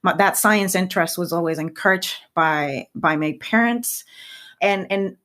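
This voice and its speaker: A woman's voice: on the low side, measured pace, a bit bright. A woman from Puerto Rico in her thirties whose first language is Spanish, speaking reflectively as a podcast guest and often restarting her phrases. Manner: reflective, with frequent restarts and repeated words. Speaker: guest scientist. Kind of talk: podcast interview.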